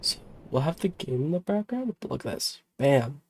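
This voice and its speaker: A woman streamer with a sick, hoarse voice, chatting casually and rambling with many fillers and restarts. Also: low-pitched, big pitch swings, moderate pace, a little mellow, slight background noise. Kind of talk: casual livestream chat.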